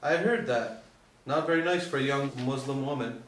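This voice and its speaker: A man's voice: medium pitch, normal speed, close to an everyday voice. A man playing a cool, sly undercover pilot in a screenplay read, speaking in a clipped, insinuating, matter-of-fact way. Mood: sly, suggestive. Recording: echoey room, distant mic, faint noise.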